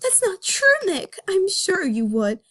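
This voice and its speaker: A woman's voice: extremely high pitch, sing-song, a little slow, voice pushed up, full character voice. A woman voicing a tearful, stubborn young female cartoon bunny in an overwrought dramatic reading of a comic. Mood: distraught, pleading. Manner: pleading, sobbing, overwrought.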